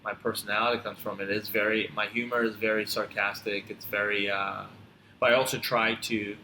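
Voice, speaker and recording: A man from Tanzania who grew up in upstate New York, talking casually and reflectively as a podcast guest, often halting and repeating phrases. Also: on the low side, level tone, sharp tone. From born in Tanzania, grew up in upstate New York. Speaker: podcast guest, physical therapist. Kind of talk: casual conversational storytelling. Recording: roomy echo, clear background noise.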